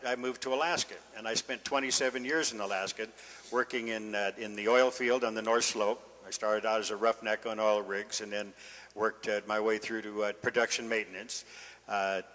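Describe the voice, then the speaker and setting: Bright older American man in echoey room. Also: fairly low pitch. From Northern California, United States. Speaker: city council candidate. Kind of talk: informal campaign speech. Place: indoor meeting room. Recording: echoey meeting room, long reverb.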